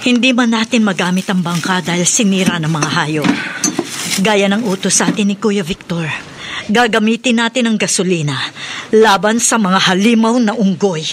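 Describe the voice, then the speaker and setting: A woman's voice: mid-range voice, moderate pace, slight background noise, close to an everyday voice. A woman acting a resolute, earnest aunt in a horror radio drama, with a Filipino accent. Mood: concerned. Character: resolute aunt leading fight against monsters.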